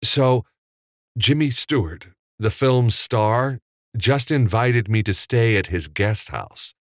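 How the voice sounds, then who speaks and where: A man voicing an eager, excited young man who is awed by old Hollywood. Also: mid-range voice, slow, no room sound, studio quality, pitched above the performer's own voice. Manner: eager, excited, breathless with wonder. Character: young man, eager and awestruck movie fan.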